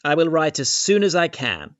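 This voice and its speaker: A man playing an eager, courteous young gentleman suitor in a period audio drama. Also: a little high, sing-song, moderate pace, dry recording, muffled recording. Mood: earnest. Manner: polite, genteel.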